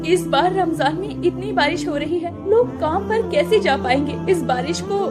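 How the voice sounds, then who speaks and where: A devout, suffering mother, played by a woman narrator speaks in a high, plaintive voice. She is tender and prayerful, a story figure over loud music. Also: normal speed. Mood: pleading prayer. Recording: loud music bed under voice.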